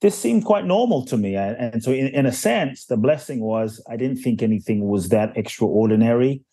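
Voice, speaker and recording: A man's voice: medium pitch, expressive, moderate pace, warm tone, breathy edge, dry recording. A man from Ghana who grew up in London, a spiritual teacher telling his life story earnestly and reflectively in a podcast interview. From Ghana, raised in London. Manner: earnest and reflective, builds stories with repetition. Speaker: guest, spiritual teacher and author.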